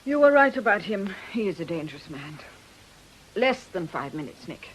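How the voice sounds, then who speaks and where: A woman's voice: fairly low pitch, very animated, normal speed, rough recording. Bitter witchy coven boss lady, radio drama, voice swings all over, bit of hiss. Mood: scornful. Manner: imperious, scornful, theatrical delivery. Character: bitter, menacing woman occult coven leader and fortune-teller.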